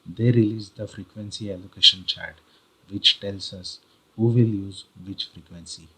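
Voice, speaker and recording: A man with an Indian accent narrating an explanatory tutorial as host, deliberately, step by step in short phrases. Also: lowish voice, steady tone, pushed and bright, a little hiss or hum, low-quality recording. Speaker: host of a how-to video channel.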